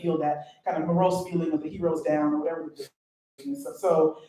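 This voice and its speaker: A woman who is a poet and teacher, speaking on a live panel, excitable and quick to laugh as she tells stories. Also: low-pitched. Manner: excitable, laughing, gesturing storyteller. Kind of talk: panel talk. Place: event venue, live panel. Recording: very echoey, distant from the mic.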